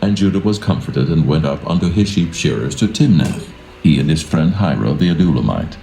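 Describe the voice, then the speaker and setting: A man with an extremely deep, dark voice and a gravelly creak narrates the Bible. His delivery is solemn, measured and reverent, in a roomy echo. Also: expressive, a little hiss or hum. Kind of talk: solemn scripted scripture narration. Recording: roomy echo with music behind.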